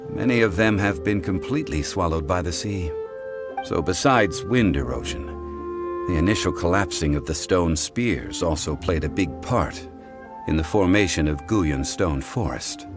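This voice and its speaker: An actor playing a calm, formal ancient scholar-god who lectures in a measured way, in a game cutscene. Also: male voice, low-pitched. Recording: game audio with music.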